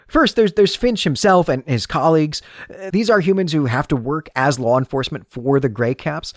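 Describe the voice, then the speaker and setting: A man's voice: mid-range voice, no room sound, clean studio sound. A man hosting a podcast, delivering an analytical, explanatory monologue in the style of literary commentary. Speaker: podcast host.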